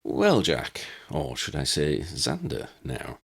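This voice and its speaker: A wry old man in a storybook reading, acted by a man. He speaks in a measured, kindly way with a gravelly voice that rises and falls dramatically. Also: rumbling low, huge pitch swings, pitched below the performer's own voice, full character voice. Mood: kindly, firm. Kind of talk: audiobook character reading.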